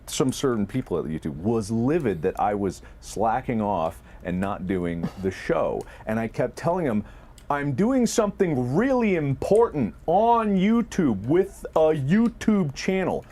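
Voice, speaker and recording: Animated, profane man telling casual stories. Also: medium pitch, slight background noise. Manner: animated, profane, exaggerating for effect. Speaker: video creator and co-host, recounting past productions. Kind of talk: casual conversational storytelling.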